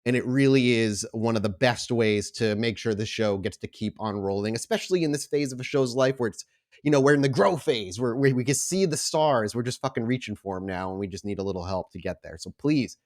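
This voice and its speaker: A man with an average-pitched voice speaks as a podcast host and game storyteller. His delivery is informal and conversational, like someone chatting off the cuff with friends at the table. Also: a little fast. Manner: informal, chatty, swears casually. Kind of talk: casual podcast intro, then improvised tabletop game narration.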